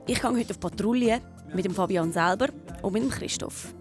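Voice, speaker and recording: A woman's voice: mid-range voice, a little fast, music in the background. A woman with a Swiss German accent, presenting and narrating a scripted children's documentary.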